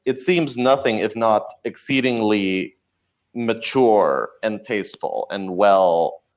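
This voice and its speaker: A man's voice: on the low side, slow pace. A man in his twenties talking casually as an interview guest, articulate and a little wry. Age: 20s. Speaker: podcast writer and showrunner, interviewed guest. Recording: slightly dull, lo-fi sound.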